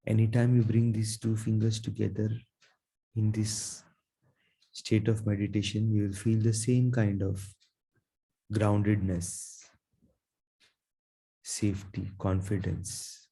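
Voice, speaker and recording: A man with a low, very mellow voice guides a meditation. He speaks slowly and gently, in short soothing phrases with long pauses between them. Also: flat delivery, creaky, slightly rough, low-quality recording. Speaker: meditation guide, Reiki teacher.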